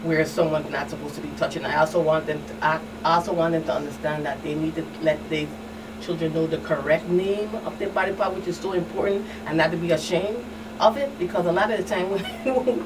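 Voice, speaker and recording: A woman's voice: low voice, steady tone, fairly quick. A woman answering as a guest expert, earnest and conversational, circling back and checking that she is understood. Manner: earnest, repetitive, often adds "you understand". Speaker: community outreach specialist, guest expert. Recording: distant mic, clear background noise.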